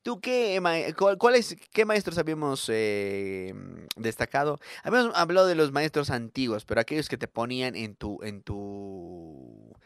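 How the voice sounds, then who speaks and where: A man's voice: medium pitch, very animated, normal speed, smooth, dry recording, studio quality. A young man with a Bolivian accent, hosting a radio show with casual, joking, informal comic talk. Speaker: radio host and comedian. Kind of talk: casual comic radio monologue, reading listener messages.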